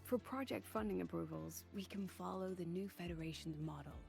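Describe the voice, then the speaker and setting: A woman voicing a composed, courteous and quietly firm academic leader in a video game, with a measured, formal delivery. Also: lowish voice, gravelly. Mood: composed, businesslike. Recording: loud game music over voice. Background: game music.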